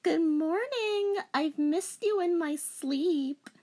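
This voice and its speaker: A woman voicing a melodramatic, lovestruck teenage cat demon girl with cutesy, breathy gushing, in a parody fanfiction reading. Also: very high-pitched, slow, dark tone, pitched above the performer's own voice. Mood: tender, adoring.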